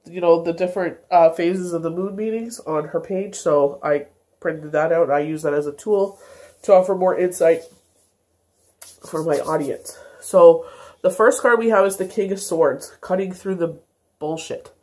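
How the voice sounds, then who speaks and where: A woman's voice: lowish voice, normal speed, warm tone. A congested woman giving a casual daily tarot reading, meandering with many fillers and self-corrections. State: congested, stuffy nose. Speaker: tarot reader.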